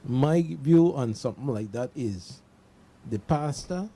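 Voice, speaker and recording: A man's voice: mid-range voice, expressive, measured pace, mellow, breathy edge. A man with a Caribbean accent talking casually on a panel, emphatic and often repeating himself to make his point. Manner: emphatic, repeats himself, checks listeners understand. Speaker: panel discussion participant. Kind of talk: casual panel talk with personal anecdotes.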